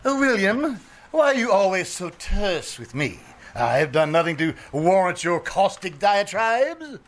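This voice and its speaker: Man acting a vain bandleader husband, high male voice, very animated, folksy slang, live old-time radio comedy. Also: a little slow. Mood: indignant, playful. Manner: folksy slangy grammar mixed with mock-grand words. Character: brash, vain, good-natured 1940s bandleader husband. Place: live on a small theater stage.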